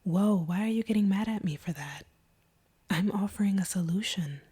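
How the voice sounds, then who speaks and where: A woman playing a guarded, wounded vampire in an intimate audio roleplay, speaking softly and haltingly in a breathy near-whisper. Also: mid-range voice. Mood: defensive. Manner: slow, hesitant, halting delivery. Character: guarded, wounded female vampire confessing feelings.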